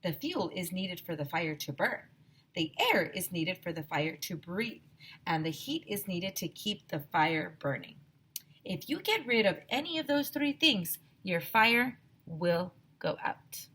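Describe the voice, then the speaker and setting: A woman's voice: on the low side, sing-song, measured pace, a bit bright, a little hiss or hum. A woman voicing an eccentric, blunt, food-loving woman from a children's storybook, matter-of-fact and emphatic.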